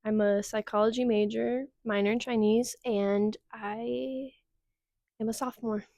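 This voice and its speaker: A woman's voice: a little high, fairly even intonation, unhurried, vocal fry, mellow, dry recording. A nineteen-year-old woman answering interview questions in a playful, self-assured way. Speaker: contestant.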